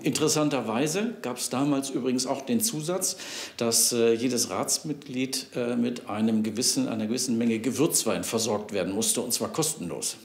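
A man's voice: mid-range voice, normal speed, soft background music. A man in an interview explaining history, with fillers as he searches for words. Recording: echoey room.

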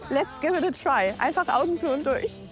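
A woman's voice: high voice, lively intonation, fairly quick. A woman speaking frankly and outspokenly in a casual interview. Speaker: show guest. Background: background music.

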